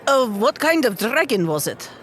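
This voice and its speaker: A woman plays a big, hearty adventurer in a fantasy tabletop game. She is easygoing and plainspoken, with a higher, very lively voice over faint noise. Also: normal speed. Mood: friendly.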